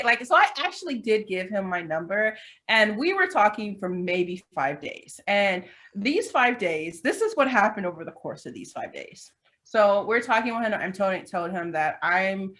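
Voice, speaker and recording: A woman's voice: medium pitch, fairly bright tone. A woman in her thirties telling candid stories in a casual chat, with lots of fillers and restarts. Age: 30s. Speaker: podcast co-host.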